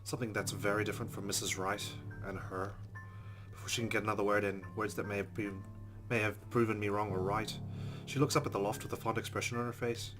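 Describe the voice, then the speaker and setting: A man's voice: lowish voice, flat delivery, fast. A man playing a visual novel on video, reading its narration aloud and adding wry, chatty asides. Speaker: let's play host. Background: game music.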